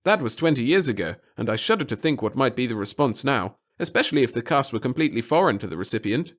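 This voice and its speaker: A man's voice: medium pitch, very animated, quick pace, pitched above the performer's own voice. A man voicing a small, nervous, fussy bureaucrat in an audiobook, speaking in formal, wordy, obsequious sentences. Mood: alarmed, confiding. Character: little wizened fussy nervous male bureaucrat with hidden cunning. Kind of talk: audiobook character acting.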